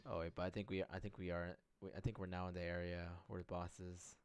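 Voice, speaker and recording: A man's voice: sits low, little pitch movement, fast, a little hiss or hum. A man giving casual, rambling gameplay commentary, repeating phrases as he thinks aloud. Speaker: gameplay streamer. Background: loud game music.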